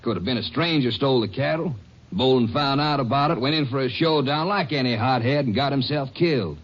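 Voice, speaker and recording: A practical, level-headed Texas Ranger partner from an old radio drama, played by a man, with a mid-pitched voice. He talks through the case in a measured, even way. The sound is muffled, like a vintage broadcast. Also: lightly acted. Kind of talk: 1950s radio drama acting.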